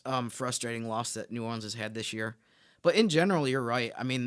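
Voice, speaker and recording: Man, mid-range pitch, sports podcast co-host, casual basketball talk, explanatory, very dry recording. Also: fairly bright tone, no echo.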